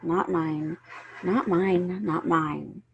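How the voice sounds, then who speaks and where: A woman voicing an upset, meek eleven-year-old girl in a story, halting and pleading. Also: lowish voice, steady tone, creaky, lo-fi sound, noisy background, exaggerated voice. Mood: timid protest. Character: upset, timid eleven-year-old schoolgirl, protesting meekly.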